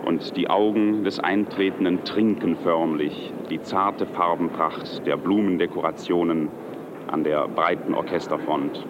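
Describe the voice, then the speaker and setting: A man's voice: sits low, fairly even intonation, a little slow, lo-fi sound, noisy background. An actor playing a solemn male radio reporter of the late 1940s, giving stately, scripted descriptive reportage.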